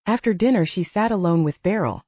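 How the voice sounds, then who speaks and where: A woman's voice: lowish voice, expressive, brisk, a little mellow, dry recording, highs cut off. A woman reading literary fiction as a scripted audiobook narration, moving between story and dialogue.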